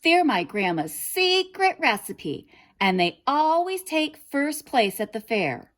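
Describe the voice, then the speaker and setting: Woman doing a sweet eager little girl from a storybook, high pitched, kinda naive and polite. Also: very animated, slow pace, heavily acted. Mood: proud, eager. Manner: bright, eager, earnest. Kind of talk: children's storybook character reading.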